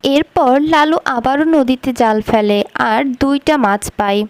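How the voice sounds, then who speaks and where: A woman's voice: sits high, measured pace, smooth, no echo. A woman narrating a scripted cartoon folk story as its storyteller.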